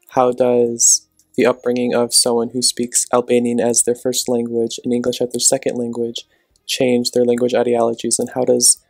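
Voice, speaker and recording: A young man, a student, reading the narration for a class project in an even, read-aloud way. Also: mid-range voice, creaky, no echo.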